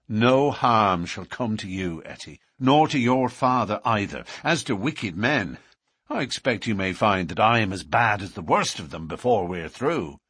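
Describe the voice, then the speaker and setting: A man's voice: fairly low pitch, animated, measured pace, fairly bright tone, husky, no echo, studio quality, full character voice. A man voicing a bold, defiant young lover in a storybook, cool and challenging, tender to his sweetheart. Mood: bitter, tender.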